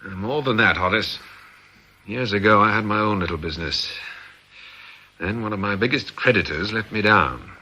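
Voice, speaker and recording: A heist ringleader, radio drama, low raspy voice, precise and bitter, very in control. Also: male voice, bright, forceful tone. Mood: calm, firm. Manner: measured, firm and precise. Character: methodical, embittered ringleader of a fraud gang. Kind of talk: British radio drama acting.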